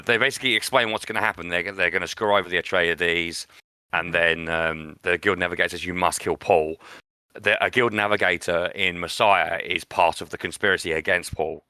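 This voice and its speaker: Male podcast co-host, opinionated and conversational, crisp bright edge, very dry close sound. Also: low-pitched, no echo. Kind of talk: casual film discussion.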